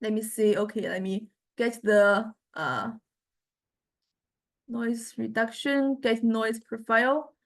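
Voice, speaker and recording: High-voiced woman lecturer, halting delivery. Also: steady tone. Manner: halting, explanatory, often checking in with "right" and "okay". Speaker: university lecturer. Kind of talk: informal software demonstration lecture.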